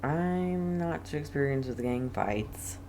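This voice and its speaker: A man's voice: fairly high pitch, lively intonation, slow pace, darkish tone. A man hosting a casual let's play, rambling and self-deprecating as he comments. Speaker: gaming video host. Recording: faint background noise.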